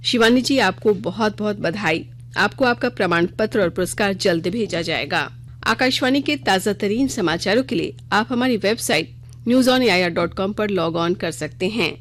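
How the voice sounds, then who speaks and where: A woman's voice: medium pitch, normal speed, a little hiss or hum. A woman news reader delivering a scripted radio bulletin in a formal news-reading style.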